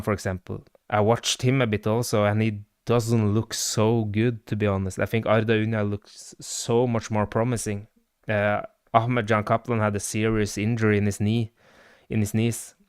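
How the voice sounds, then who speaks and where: A man's voice: on the low side, clean tone, no room sound, clean studio sound. A man with a Norwegian accent hosting a live stream, chatting loosely about his football club with lots of fillers and replies to chat. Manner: rambling with many fillers, answers live chat. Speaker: livestream host and football fan.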